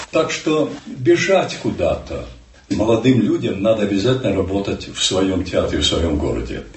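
Older male actor, low voice with a lively melody, recorded from a distance in a reverberant room. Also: a little hiss or hum. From Ukraine. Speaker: stage actor, interview guest. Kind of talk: reflective interview answers.

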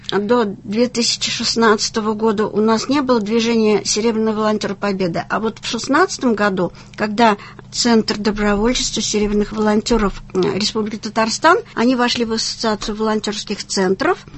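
A woman in her sixties from Tatarstan in Russia, talking enthusiastically and at length in a conversational interview as a guest. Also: mid-range voice. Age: 60s. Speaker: senior volunteer, interview guest.

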